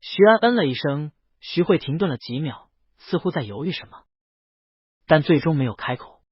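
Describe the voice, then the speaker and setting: A man's voice: a little high, lively intonation, normal speed, breathy edge, no echo, highs cut off. A man narrating a scripted romance novel as an audiobook, reading close to the mic in a dry recording. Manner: reads character dialogue within the narration.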